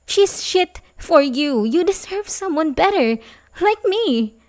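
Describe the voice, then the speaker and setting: A woman playing an obsessive, manipulative young babysitter in love in an ASMR roleplay, halting and pleading with soft menace. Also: very high-pitched, animated, full character voice. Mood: disbelieving, indignant. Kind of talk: ASMR roleplay audio drama, addressing the listener.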